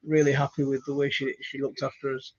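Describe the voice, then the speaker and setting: A man's voice: medium pitch, flat delivery, fast, a little mellow, highs cut off, a little hiss or hum. A man in his thirties from Leeds with a Yorkshire accent. He hosts a gardening channel and rambles through a casual live-stream chat with viewers, using lots of fillers and enthusiastic repeats. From Leeds, Yorkshire, England. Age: 30s. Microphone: phone.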